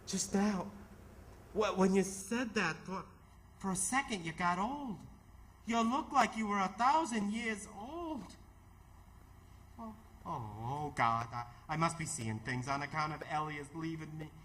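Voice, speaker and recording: An actor playing a distraught, bitter and weary ambulance driver in old-time radio theatre, with an emotional, halting, stammering delivery. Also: male voice, high voice, lively intonation, fairly bright tone, slight background noise. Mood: halting, awed.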